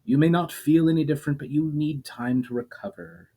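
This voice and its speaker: A kindly old male forest spirit speaks with gentle earnestness, as a man reads it out. It comes from a read-aloud fantasy tale. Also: fairly high pitch, brisk, pitched above the performer's own voice, natural voice. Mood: earnest.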